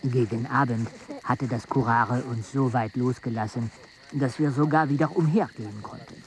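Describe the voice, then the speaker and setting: An actor playing a jungle explorer's companion who narrates a radio play in a measured, literary way. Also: male voice, mid-range voice, slight background noise, natural voice. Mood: grave. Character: male expedition companion narrating a grim jungle journey.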